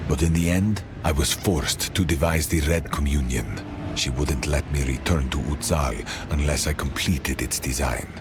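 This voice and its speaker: An actor playing a weary, haunted inventor in a fantasy game, speaking in a grave, measured way. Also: male voice, very deep voice, over music. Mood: weary, bitter. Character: weary, haunted older male inventor serving a cruel queen. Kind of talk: scripted fantasy game dialogue.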